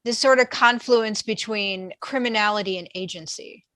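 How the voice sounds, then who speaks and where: A woman's voice: medium pitch, normal speed, sharp tone, no room sound. Earnest woman, dry close sound. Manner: earnest, academic wording. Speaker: panelist. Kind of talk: panel discussion.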